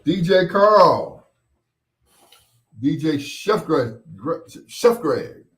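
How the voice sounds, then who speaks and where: A middle-aged man hosting a casual show, chatting and reminiscing in a rambling way, often pausing and repeating himself as he replies to listeners. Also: on the high side, animated, fairly bright tone. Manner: unhurried, rambling, with pauses and repeats. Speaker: host of a bedtime stories show. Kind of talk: casual chat, reminiscing and replying to listener comments.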